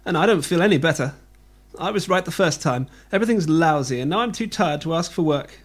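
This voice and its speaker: A man's voice: a little high, animated, brisk, husky. A man voicing a tired, hungry woman down on her luck who grumbles to herself. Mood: glum. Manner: grumbling, self-pitying.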